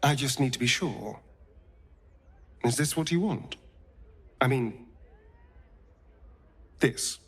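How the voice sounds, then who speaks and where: A man's voice: mid-range voice, takes it slowly, pauses often, breathy edge. A suave vampire lover from a fantasy game. He drawls at a leisurely pace in a mid-range voice, arch and theatrical, teasing yet tender. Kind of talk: dramatic video game dialogue.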